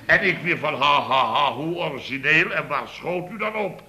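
A man's voice: fairly high pitch, expressive, moderate pace, bright, forceful tone, a little hiss or hum. An actor playing a blustering, exasperated boss of a building firm in a radio comedy, exclaiming and repeating himself as he tells his tales. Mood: animated recounting. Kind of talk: Dutch radio comedy acting, anecdote telling.

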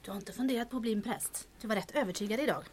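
A woman playing a calm, sensible, sceptical character in an audio drama. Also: fairly high pitch, slightly rough. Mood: wry. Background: music.

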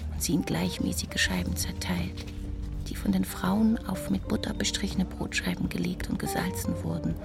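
A wistful woman who observes small details in a radio play monologue. Her voice is slightly low and strongly creaky, kept hushed and precise. Music plays clearly behind her. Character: wistful, observant woman narrator remembering a meeting. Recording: music under the voice.

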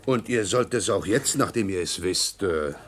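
An actor playing a stiff, earnest, impatient government official in a German radio play. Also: male voice, deep voice, animated, normal speed, a bit bright. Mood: indignant, exasperated. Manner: earnest, exasperated.

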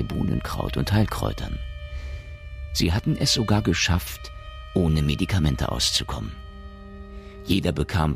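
A man narrating a science fiction radio play in standard German, in a measured storytelling manner. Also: rumbling low, vocal fry, full character voice. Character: omniscient male narrator of a science fiction tale. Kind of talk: scripted audio drama narration.

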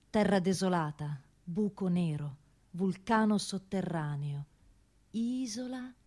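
A woman from Rome, an actress delivering a narrative stage monologue deliberately, phrase by phrase with pauses. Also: medium pitch, a little mellow. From Rome, Italy.

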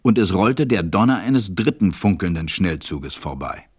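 A man playing a grave, weary stranded pilot who narrates a German radio play in a measured, husky, deep voice. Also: very animated, a little mellow, heavily acted. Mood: grave, reflective.

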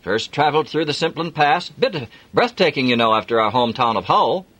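A man voicing a jovial, chatty middle-aged businessman with a broad Yorkshire accent, booming and talkative. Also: expressive, a little fast, a bit bright. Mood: hearty.

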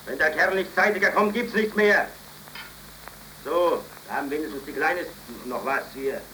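A man's voice: fairly high pitch, moderate pace, sharp tone. An actor playing a stern, bullying working-class father who scolds and commands, in a 1930s radio drama. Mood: grumbling, indignant. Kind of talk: 1930s German radio play acting. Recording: noisy, roomy 1930s radio recording.

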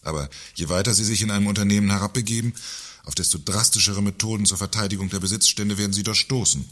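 An actor playing a sardonic, pompous company director in a satirical radio play, speaking in a dry, condescending, formal manner. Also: male voice, lowish voice, fairly even intonation, fast, a bit bright. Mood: grave, condescending.

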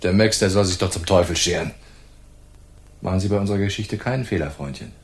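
A tough police detective, low and gravelly. He is gruff and cocky, in the manner of a noir radio drama. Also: fast. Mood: gruff, swaggering. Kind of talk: German radio play acting, noir.

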